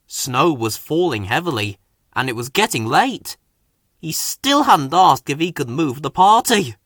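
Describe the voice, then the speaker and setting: A man's voice: fairly high pitch, a bit bright, no room sound, clean studio sound. A man reading a scripted children's story as its narrator.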